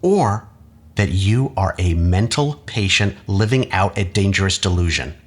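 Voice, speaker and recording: An actor playing a manipulative academic husband in an audio drama, measured, coaxing and patronizing. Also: male voice, low voice, expressive. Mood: condescending, insistent. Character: manipulative husband, an academic doctor, calmly condescending and persuasive.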